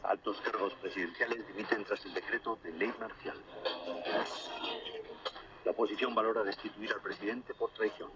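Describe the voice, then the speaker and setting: A male radio newsreader delivers scripted headlines and reports in a formal, measured way. His voice is on the low side. It comes through a dull, lo-fi shortwave reception that makes him sound far off. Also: fairly even intonation, a loud crowd. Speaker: radio news presenter. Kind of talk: scripted news bulletin reading. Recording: muffled shortwave radio reception, distant, crackly.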